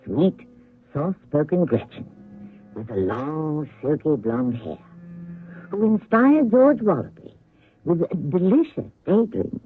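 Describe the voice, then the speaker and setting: A man hosting and narrating a 1970s radio drama with dramatic, deliberate phrasing. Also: fairly high pitch, very animated, soft background music. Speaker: host and narrator. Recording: narrow-band old radio recording.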